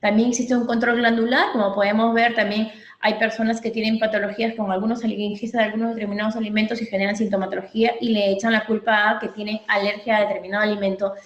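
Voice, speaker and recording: A woman's voice: mid-range voice, flat delivery, fast talker, muffled recording. A woman physician giving a medical training lecture, explaining step by step and often checking in with her listeners. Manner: didactic, repeats points, checks in with "¿ok?". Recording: echoey room.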